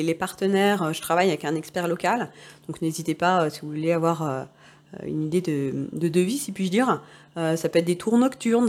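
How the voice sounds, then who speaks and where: A woman giving casual travel advice, pausing often with filler sounds. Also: on the low side, darkish tone. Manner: hesitant, many filler sounds. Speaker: travel advisor.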